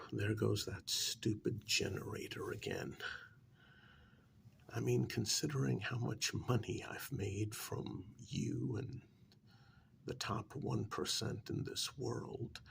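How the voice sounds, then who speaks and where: A man playing a calm, shady doctor in an ASMR role-play, speaking softly and deliberately, often near a whisper, with light reproach. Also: lowish voice, slight background noise, heavily acted. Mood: mildly exasperated. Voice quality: soft, breathy, often dropping to a whisper. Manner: slow, deliberate, lightly admonishing, with drawn-out pauses. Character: calm, shady male doctor who transplants wolf eyes.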